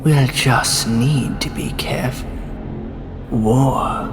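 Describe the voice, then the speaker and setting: An actor playing a cautious, menacing male conspirator in a fantasy audio drama, speaking in weighty pauses. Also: medium pitch, steady tone. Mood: brooding, menacing. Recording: music under the dialogue.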